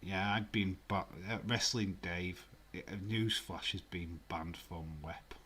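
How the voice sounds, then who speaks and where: A man with a Yorkshire accent chats on a live stream, joking and teasing his viewers in a fairly low voice. He talks slowly and easily, laughing now and then and sometimes slipping to a near whisper. A faint noise sits under the recording. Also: steady tone, a bit bright. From Yorkshire, England. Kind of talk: casual chat reading live comments.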